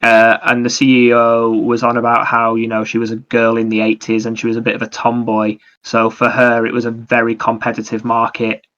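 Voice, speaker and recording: A man's voice: medium pitch, completely flat delivery, a little fast, few pauses, a bit bright. A man chatting casually about video games as a podcast host, explaining things in a rambling way. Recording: dull, lo-fi microphone sound.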